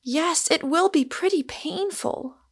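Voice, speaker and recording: A woman voicing an excitable, easily startled young woman. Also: very high-pitched, takes it slowly, voice pushed up, full character voice.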